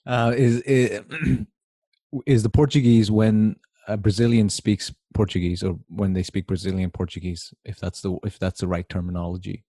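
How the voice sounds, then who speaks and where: A man asks curious, rambling questions in a low voice. His tone is dark and mellow. The recording is dry and close, with no room sound. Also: steady tone, moderate pace, no room sound. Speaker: host. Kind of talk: casual conversation.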